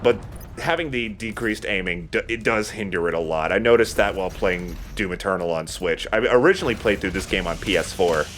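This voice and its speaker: A man's voice: lowish voice, brisk, a bit bright, a little hiss or hum. A man giving casual, rambling gaming commentary as a let's play host, sometimes swearing.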